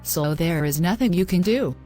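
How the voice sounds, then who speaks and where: A woman's voice: lowish voice, lively intonation, normal speed, mellow, faint music behind, close to an everyday voice. Woman acting a distraught, guilt-ridden young woman. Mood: shocked, despairing. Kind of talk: scripted moral-story drama.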